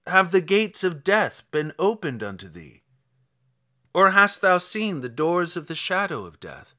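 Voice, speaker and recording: A man's voice: sits high, very animated, measured pace, bright, forceful tone, full character voice. A man reading scripture as a stern, commanding God, declaiming solemn rhetorical challenges in archaic English.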